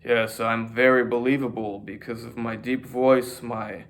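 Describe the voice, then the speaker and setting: A man's voice: medium pitch, flat delivery, unhurried, a bit bright, a little hiss or hum. A man vlogging about fitness, talking to a camera in front of him in a rambling, self-mocking way as he shows off poses. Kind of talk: casual rambling monologue to camera.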